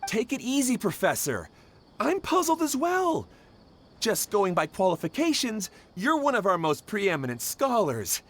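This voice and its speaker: Very animated, placating aide. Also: male voice, high voice, measured pace, heavily acted. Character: soothing, deferential younger male academic aide. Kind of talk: video game voice acting.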